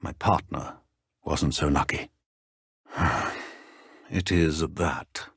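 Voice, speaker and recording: An actor playing a stern, middle-aged wizard professor in a video game, speaking formal British English in a measured, dry manner. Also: male voice, rumbling low, fairly even intonation, gravelly, a bit bright, slightly rough, no echo, exaggerated voice. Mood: grave, regretful. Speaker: professor character in a fantasy game. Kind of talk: scripted video game character dialogue.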